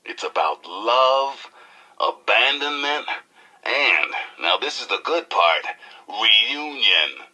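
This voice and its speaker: A man's voice: on the low side, big pitch swings, sharp tone, slightly rough, full character voice, rough recording. A male actor playing a flamboyant, irreverent radio DJ in a post-apocalyptic game, with an American accent, a gritty voice and theatrical, wisecracking patter. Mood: storytelling, wry. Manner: theatrical, wisecracking, folksy, drawn-out and punchy. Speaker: radio DJ and newsreader. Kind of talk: showy radio DJ patter and mock newscast.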